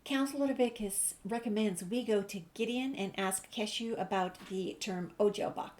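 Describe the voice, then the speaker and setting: Woman, medium pitch, casual gaming commentary, easygoing, reads on-screen text aloud. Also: moderate pace, darkish tone. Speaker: gaming video creator. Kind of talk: casual game playthrough commentary, reading dialogue choices aloud.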